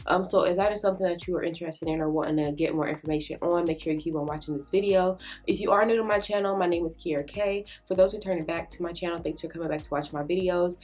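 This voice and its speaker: Low-voiced, slightly congested young woman vlogger. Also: fast, a little mellow. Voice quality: slightly nasal. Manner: rambling, filler-heavy advice. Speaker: lifestyle YouTuber. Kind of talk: casual talk to camera. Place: bedroom filming setup with ring lights. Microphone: camera in front, framed head and shoulders.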